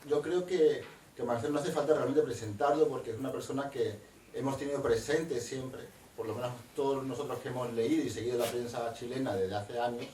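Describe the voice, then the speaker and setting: A middle-aged man introduces a lecture in a measured, academic way, tagging his sentences with little questions. His voice sits at an ordinary pitch for a man. He is heard from a distance in a reverberant university room, with a faint hiss underneath. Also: mellow. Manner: measured and discursive, with frequent tag questions. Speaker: moderator and historian. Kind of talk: academic introduction of a guest speaker. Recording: echoey, distant room recording with faint noise.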